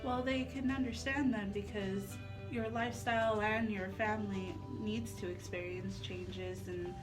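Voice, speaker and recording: A woman's voice: mid-range voice, steady tone, a little slow, over music. A woman co-hosting a radio talk show in a conversational style. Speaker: radio co-host.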